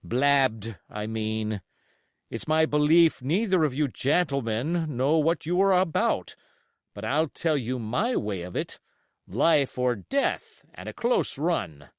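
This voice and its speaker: A stern, plain-spoken sea captain, as acted by a male audiobook reader. He bites off formal, emphatic phrases at a slow pace. His pitch leaps about stubbornly. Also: very animated, no room sound, clean studio sound. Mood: blunt, disapproving. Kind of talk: audiobook character acting.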